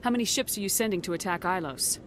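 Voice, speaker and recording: A woman's voice: mid-range voice, fast, slight background noise, lightly acted. A woman playing a steely, determined young starship commander in a video game, firm and blunt. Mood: urgent, insistent. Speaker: military commander. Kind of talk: dramatic video game acting.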